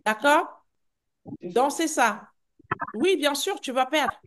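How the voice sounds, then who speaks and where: Woman coach with a slightly high voice. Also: animated, takes it slowly, fairly bright tone. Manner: repeats words, restarts sentences, reassuring. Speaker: health and weight-loss coach. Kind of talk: live group coaching call.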